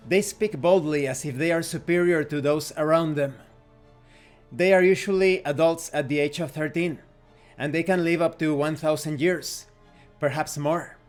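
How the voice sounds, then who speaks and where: A man reading rulebook text aloud as scripted narration for a tabletop game review. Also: fairly high pitch.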